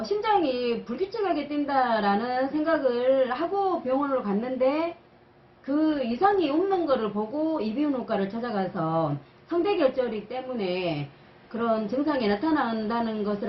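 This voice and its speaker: A woman's voice: fairly high pitch, muffled recording, slight background noise. A woman channel host giving an explanatory personal talk in an interview-style format.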